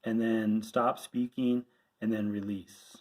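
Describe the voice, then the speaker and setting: A man's voice: lowish voice, level tone, slow pace, darkish tone, poor audio. A man giving an informal hands-on tutorial, explaining things plainly and step by step as an instructor. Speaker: radio instructor.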